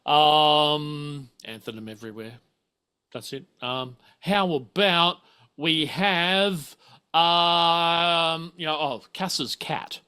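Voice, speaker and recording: A man's voice: a little high, drawn-out words, pushed and bright, clear, resonant tone. A man, chatting casually as a game master in a rambling, sweary way. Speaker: game master of a streamed tabletop RPG. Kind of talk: casual chat.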